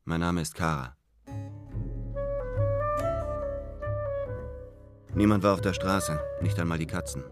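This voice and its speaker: A man's voice: sits low, fairly quick, music in the background, natural voice. An actor in a radio drama playing a lovesick, yearning man, intimate and earnest as he narrates and speaks. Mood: brooding, hushed. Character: lovesick, yearning man back after twelve years.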